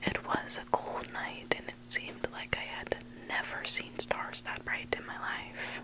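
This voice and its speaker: An ASMR host whispering scary stories in a hushed, unvoiced voice, close to the microphone. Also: a little slow. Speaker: ASMR host reading listener-submitted stories. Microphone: close microphone made for headphone listening.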